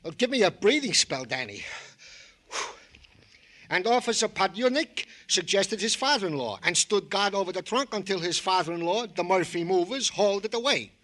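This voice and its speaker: An actor playing an excitable, fussy policeman in a radio crime drama, wordy and mock-formal in his exasperation. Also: high voice, normal speed, heavily acted. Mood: exasperated, wordy. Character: excitable, fussy male policeman reporting details. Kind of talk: old-time radio crime drama acting.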